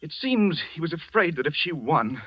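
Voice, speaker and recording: An actor playing a smooth, evasive scandal reporter, sly and gossipy, in an old-time radio mystery. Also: male voice, on the high side, sing-song, moderate pace, no room sound. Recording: dry, muffled vintage radio recording.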